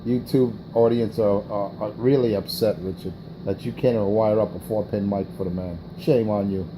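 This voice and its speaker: Give me a profane, taunting man with a low voice over hissing static. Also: fairly even intonation, normal speed. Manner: brash, taunting, profane, repeats himself. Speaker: CB radio operator hosting an on-air morning show. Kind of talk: crude trash-talking radio banter.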